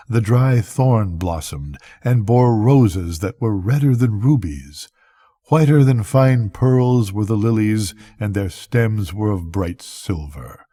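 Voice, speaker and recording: An older man tells a bedtime story. His voice is mellow and on the low side, with a husky texture. He takes his time over the scripted lines. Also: no echo, studio quality.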